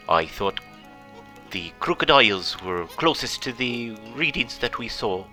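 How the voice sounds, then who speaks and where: A man's voice: fairly low pitch, sharp tone, exaggerated voice. A man voicing a blustery, theatrical pirate captain who spins adventure tales in exclamatory old seafaring talk. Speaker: storyteller and host. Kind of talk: in-character adventure game narration for children.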